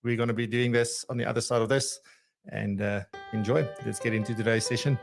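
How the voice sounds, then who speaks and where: A man's voice: mid-range voice, steady tone, brisk, creaky, over music. A man hosting a live podcast, chatty and hesitant, full of fillers as he introduces and questions his guests. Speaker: podcast host.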